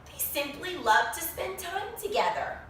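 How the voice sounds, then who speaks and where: A woman reading a picture book to young children in an expressive, sing-song way, pausing to explain things and ask questions. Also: very high voice. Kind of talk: animated read-aloud story for young children. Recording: very echoey room, distant mic, faint noise.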